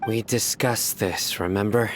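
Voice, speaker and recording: An actor voicing a stern, principled, disciplined general of enforcers in a video game, formal and measured. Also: male voice, on the low side, steady tone, noisy background, lightly acted. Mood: calm.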